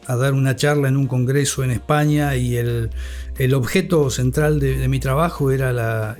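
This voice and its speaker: An Argentine man speaking thoughtfully as an interview guest and radio scholar, with deliberate delivery and a formal, learned vocabulary. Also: medium pitch, darkish tone.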